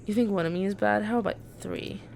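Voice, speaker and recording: Woman playing a creaky-voiced, mocking villainess. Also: mid-range voice, quick pace, darkish tone, a little hiss or hum, close to an everyday voice. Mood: menacing taunts. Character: taunting, cocky young female villain rider.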